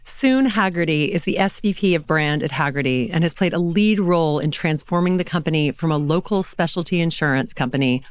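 A woman with a very low, smooth voice hosts an interview with effusive enthusiasm. The sound is close and dry, with no room around it. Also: no echo. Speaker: podcast host and auctioneer. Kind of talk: interview conversation. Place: studio.

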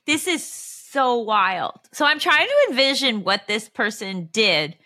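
A woman's voice: on the high side, sing-song, slow pace, bright, forceful tone, dry recording, studio quality. A woman co-hosting a podcast, chatting casually with the others. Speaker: podcast co-host. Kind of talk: casual chat.

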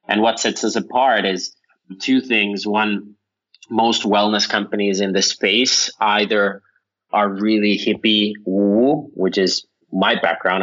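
A man from Finland speaks as an interview guest, and his voice sits very low. He talks in a loose, rambling way, with plenty of ums and restarts. The recording sounds a little muffled and lo-fi. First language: Finnish. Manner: relaxed, rambling with fillers and restarts. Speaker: company founder, guest. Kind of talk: casual interview answers.

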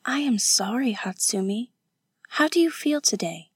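A woman acts out an earnest, romantic young woman in a storybook novel. Her voice is lifted and soft, gently pleading, in a dry, close recording. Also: a little high, animated, unhurried, slightly rough, dry recording, pitched above the performer's own voice. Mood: tender concern.

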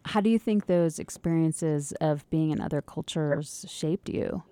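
Low-voiced female radio host. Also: a little slow, dark tone, no room sound. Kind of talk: radio interview questions. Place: radio studio.

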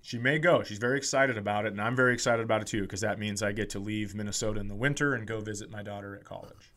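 A man's voice: lowish voice, fairly even intonation, fast talker, a bit bright. A middle-aged American man co-hosting a comedy podcast, telling casual comic stories and acting out what people said.

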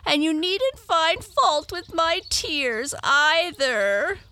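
A tearful, petulant little girl from a storybook, played by a woman. Her voice sits high and whines, with a bright, piercing edge and lots of swoop. The recording is dry. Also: expressive, very slow, dry recording, heavily acted. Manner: whiny, petulant. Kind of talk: children's storybook character voice.

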